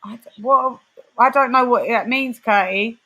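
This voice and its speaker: A woman's voice: a little high, a little slow, a bit bright, rough recording. A woman with a London accent, hosting a casual livestream chat and reading out viewers' comments. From West London. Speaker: livestream host.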